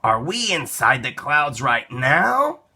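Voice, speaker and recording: An excited little boy from a storybook, acted out by a man. The voice is pitched up high and bright, full of eager curiosity and wide-eyed wonder. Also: slow pace, slightly rough, full character voice. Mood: curious.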